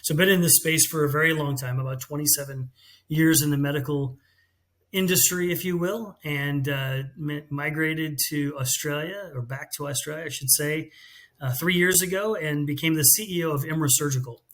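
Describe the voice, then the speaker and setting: Middle-aged American man, CEO guest; clear explanatory interview delivery, voice on the higher side. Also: fairly even intonation, normal speed, fairly bright tone. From United States. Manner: fluent, explanatory, with some restarts. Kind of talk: business interview.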